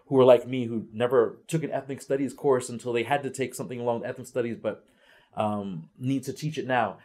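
A man in his forties speaks on a seated panel in a lecture room, his voice sitting a little low. He talks earnestly, stopping and restarting his sentences as he thinks, picked up by a camera mic from across the table. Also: a little mellow. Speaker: ethnic studies teacher coach. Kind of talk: panel talk to students. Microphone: far camera.

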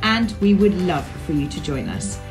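A woman's voice: fairly low pitch, animated, moderate pace, over music, low-quality recording. A woman, hosting a vlog in an enthusiastic, chatty way. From Zimbabwe. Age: 30s. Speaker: vlog host. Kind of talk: upbeat vlog narration and chat to camera.

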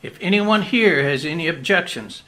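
Man acting a kindly, bright-voiced country pastor. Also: a little high, lively intonation, moderate pace. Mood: solemn. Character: kindly country pastor officiating a Christmas Eve wedding. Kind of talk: radio drama acting, wedding sermon.

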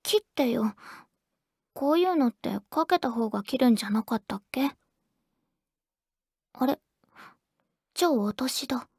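Breathy, bashful anime schoolgirl. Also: high-pitched, expressive, unhurried, pauses often, no echo, studio quality, heavily acted. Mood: shy, reluctant. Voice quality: soft, breathy, whispery. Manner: hesitant, stammering, bashful. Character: shy awkward teenage girl phoning her childhood-friend crush. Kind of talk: anime audio drama, intimate ASMR phone-call acting.

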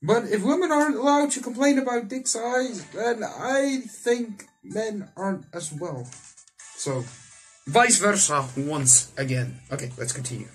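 Animated young man with lively pitch. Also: a little slow, poor audio. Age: young adult. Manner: exclamatory, theatrical asides. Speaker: gaming video host. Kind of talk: visual novel let's play, reading and commentary. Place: indoor room. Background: game music.

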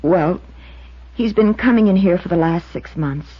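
Through a muffled 1950s radio recording comes a young American waitress. Her husky voice is earnest and defensive, full of hurt. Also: fairly low pitch, moderate pace. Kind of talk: 1950s radio drama acting.

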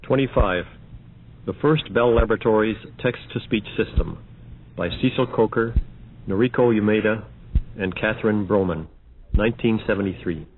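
Male narrator, measured and factual, lowish pitch, narrow-band archival sound. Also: slightly rough. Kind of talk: scripted announcements of numbered demo examples. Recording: dull band-limited old audio.

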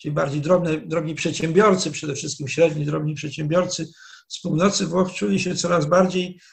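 An older man with a strongly gravelly, somewhat high-pitched voice explains history like a university lecturer. He is measured and digressive, often restarting phrases and correcting himself mid-sentence. He speaks through a webcam call from a dark, wood-panelled study. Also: fairly even intonation. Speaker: professor, interview guest. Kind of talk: explanatory academic talk. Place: study with dark wooden shelves, indoors.

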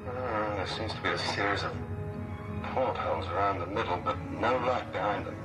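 An actor playing a grumpy, commanding spaceship captain in a science-fiction radio drama, brusque and authoritative. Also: male voice, on the low side, normal speed, over music, close to an everyday voice. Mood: brisk, commanding.

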